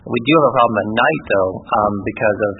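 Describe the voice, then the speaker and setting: A man's voice: mid-range voice, fairly quick, faint music behind. A man giving an informal report at a committee meeting, casual with many fillers. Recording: tinny phone-like sound.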